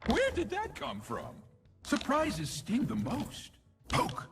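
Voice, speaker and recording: An actor voicing a gentle, whimsical tree-like nature spirit in a video game, with a playful, singsong, dramatic delivery. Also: male voice, very high-pitched, wildly swooping pitch, takes it slowly, over music, heavily acted. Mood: playful, mischievous. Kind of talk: video game character voice lines.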